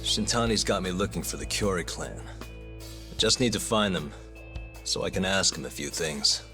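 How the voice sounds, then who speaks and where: An actor in an English game dub playing a cool, easygoing younger detective with a casual, wry, understated manner. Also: male voice, low voice, lively intonation, moderate pace, over music. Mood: cool, determined.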